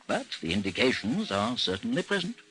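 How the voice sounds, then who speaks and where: An actor playing a composed, dry investigation chief in an old radio drama, speaking and narrating in a formal, understated way. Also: male voice, medium pitch, very animated, fairly quick, bright, forceful tone, poor audio. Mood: measured, dry. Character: composed, dry British chief of a missing persons bureau, narrating his case.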